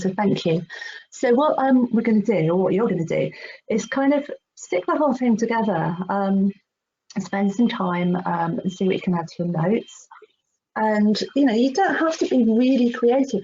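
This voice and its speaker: A woman's voice: fairly low pitch, vocal fry, warm tone. A middle-aged woman giving an informal online workshop, chatty, hesitant and encouraging. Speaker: workshop presenter. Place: online video call. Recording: dull, noisy online call audio.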